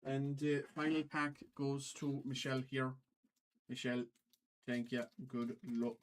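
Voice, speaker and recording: A man with a medium-pitched voice hosts a casual trading card livestream in a put-on Irish accent. He calls out items in a halting, stop-start way and often repeats his phrases. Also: completely flat delivery, a little hiss or hum. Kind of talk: casual livestream commentary, calling out cards.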